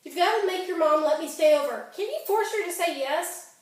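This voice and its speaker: A woman's voice: extremely high pitch, lively intonation, fairly quick, a little mellow. A woman performing a theatrical monologue as an angry, wounded fifteen-year-old girl, melodramatic and sarcastic. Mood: urgent, pleading. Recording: echoey room, distant microphone.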